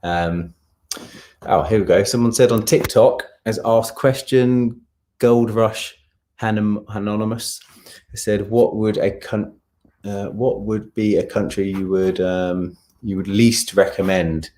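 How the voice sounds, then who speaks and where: A man with a low voice and an English accent hosts a live travel stream. He chats casually as he reads out questions from viewers and answers them, sometimes humming to himself while he searches. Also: fairly even intonation, slow, mellow, slight background noise. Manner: hums filler sounds while scanning for comments. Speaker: travel content creator and live stream host.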